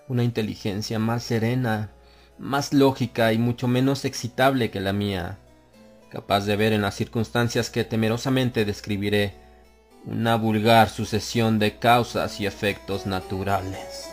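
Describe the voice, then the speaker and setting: A man narrating a horror tale as an audiobook, in a grave and measured way, stretching key phrases out slowly. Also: on the low side. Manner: grave, measured delivery with drawn-out slow phrases. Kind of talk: dramatic horror audiobook reading. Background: faint harpsichord music.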